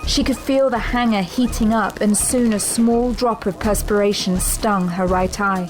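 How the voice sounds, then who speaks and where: An actress as a grave, suspenseful storyteller, delivering dramatic scripted narration for an audio drama. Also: female voice, medium pitch, fairly even intonation, unhurried, over music, close to an everyday voice, rough recording.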